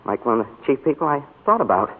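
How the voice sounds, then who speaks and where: A shy, stammering young groom. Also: male voice, mid-range voice, sing-song, fast, breathy edge. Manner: hesitant, stammering. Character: shy young small-town groom, awkward. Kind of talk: 1940s radio acting. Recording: tinny old radio broadcast.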